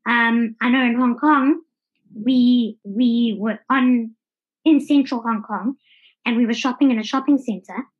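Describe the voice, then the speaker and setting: A South African woman talking casually as a podcast guest. Her voice is fairly high and husky, and the audio sounds a little dull and lo-fi. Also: unhurried. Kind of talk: casual conversational interview answers.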